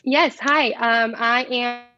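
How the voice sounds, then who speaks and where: A woman's voice: on the high side, steady tone, slow pace, sharp tone, no room sound. A woman from South Carolina introducing herself on a video call, hesitant with many ums. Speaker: recruiter. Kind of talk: casual self-introduction. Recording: dull dry call audio.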